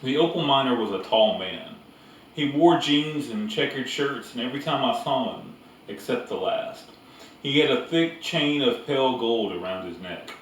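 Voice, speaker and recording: A man reading a story aloud as narrator, with deliberate phrasing and pauses. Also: mid-range voice, animated. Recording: echoey room, distant mic, background noise.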